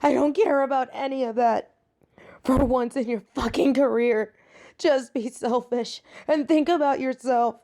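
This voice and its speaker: A woman's voice: high-pitched, steady tone, unhurried, warm tone. A woman playing a flirty, proud catgirl thief in an intimate audio roleplay, speaking dramatically and emotively to one listener. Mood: outraged, hurt.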